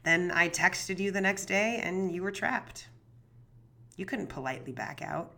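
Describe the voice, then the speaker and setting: A woman's voice: fairly low pitch, animated, unhurried, creaky, bright, forceful tone, heavily acted. An actress playing a young woman true crime podcast host, talking in an anxious, rambling, swearing, self-deprecating way. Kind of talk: audio drama monologue.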